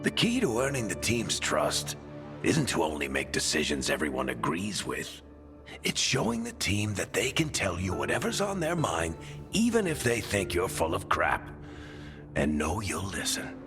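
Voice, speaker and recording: An actor playing a weathered veteran adventurer in a video game, a wry, folksy and reassuring mentor. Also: male voice, deep voice, wildly swooping pitch, normal speed. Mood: encouraging. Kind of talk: video game dialogue acting. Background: background music.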